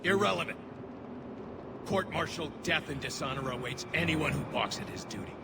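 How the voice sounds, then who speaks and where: A man's voice: on the high side, expressive, normal speed. A man playing a burdened, dutiful young alien military officer in a sci-fi game, speaking earnestly and formally. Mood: stern, rallying. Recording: loud vehicle and battlefield noise behind.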